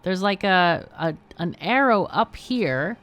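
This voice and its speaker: A woman's voice: fairly low pitch, animated, takes it slowly, fairly bright tone, faint music behind. A woman hosting a livestream, chatting casually as she plays a game. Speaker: livestream host. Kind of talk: casual live game chat.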